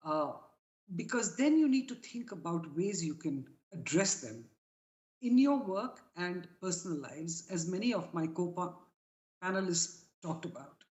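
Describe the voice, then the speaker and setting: A woman with a slightly low voice closes her panel remarks. She is measured and stumbles a little over her words now and then. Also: animated, darkish tone.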